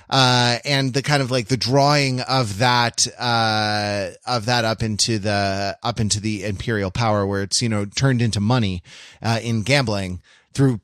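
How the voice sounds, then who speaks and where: A man with a mid-range voice talks as a podcast co-host in a close, dry recording. His delivery is halting and academic, building an analysis piece by piece in casual conversation and checking in as he goes. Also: medium pitch, a bit bright, no room sound. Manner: halting, academic phrasing with frequent check-in tags. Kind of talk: casual analytical pop-culture discussion.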